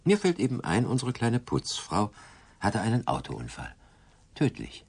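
A man acting in a German radio drama as a sinister, casually menacing figure, speaking in a deliberate, insinuating way with a deep gravelly voice. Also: wildly swooping pitch, darkish tone, exaggerated voice.

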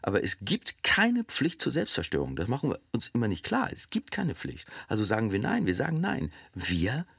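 A forceful orator in a radio play, pressing a moral point on his listeners. His voice is husky and swings animatedly, in a very dry, close recording. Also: medium pitch, huge pitch swings, moderate pace, hardly any pauses, dry recording, heavily acted. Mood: grave, firm. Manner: insistent, rhetorical, addressing listeners directly. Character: forceful man arguing a hard moral case in a speech. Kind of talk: rhetorical speech, radio drama acting.

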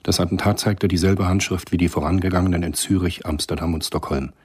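A man's voice: low-pitched, fairly even intonation, brisk, a little mellow, husky. A man narrating a scripted German radio drama in a sober, matter-of-fact manner.

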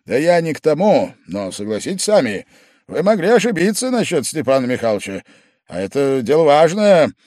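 A man voicing an irritable, proud gentleman who speaks curtly and with exasperation, in an audiobook. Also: a little high, very animated, brisk, no echo, clean studio sound, voice pushed up. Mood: insistent. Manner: curt and exasperated.

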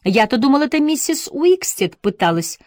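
A woman narrator voicing a young man who quietly presses an older woman about his absent father. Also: sits high, very animated, moderate pace, dry recording, clean studio sound, voice pushed up. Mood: probing. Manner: subdued, questioning, hurt.

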